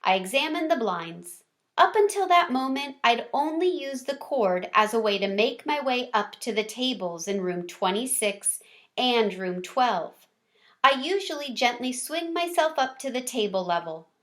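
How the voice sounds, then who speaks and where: A woman storyteller reading a children's chapter book aloud in an expressive way, stressing key words. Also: fairly high pitch, unhurried.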